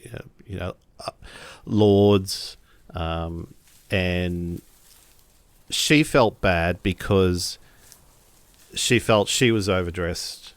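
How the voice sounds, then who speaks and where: A man with a fairly low voice takes part in a tabletop role-playing game. He describes his character's actions casually and slowly, often hesitating as he puts the sentence together. The recording is dry. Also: dry recording. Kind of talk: casual in-game narration and chat.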